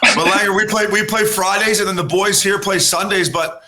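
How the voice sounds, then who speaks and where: A man's voice: sits high, fairly even intonation, normal speed, noisy background. A man co-hosting a podcast, a former hockey player, rambling and joking in loose banter. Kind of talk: casual podcast chat.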